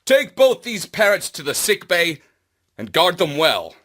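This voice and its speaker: Man voicing earnest, slightly tentative commander, highish voice. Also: huge pitch swings, a little slow, fairly bright tone, exaggerated voice. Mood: tentative, polite. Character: bright, polite, slightly hesitant male human commander Marlowe.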